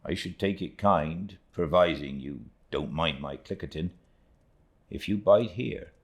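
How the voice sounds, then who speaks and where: A man's voice: low-pitched, unhurried. A man voicing a humble, devout old seafaring uncle in an audiobook, with a rustic East Anglian dialect, earnest and plain-spoken. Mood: deferential. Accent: rustic East Anglian English dialect. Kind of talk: audiobook character reading.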